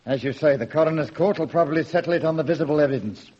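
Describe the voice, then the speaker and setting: A stern, sceptical police inspector in a radio detective play. His voice is fairly high for a man, husky and soft-edged, with a methodical air of command, on a dull old recording. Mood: sceptical, authoritative. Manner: brisk, authoritative, methodical. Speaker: actor in a radio detective drama. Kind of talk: radio drama acting.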